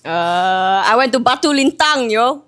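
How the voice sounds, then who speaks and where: A woman's voice: on the high side, lively intonation, unhurried, a bit bright. A young woman co-hosting a casual podcast in a living room, chatty and full of filler words. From Malaysia. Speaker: podcast co-host. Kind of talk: casual chat.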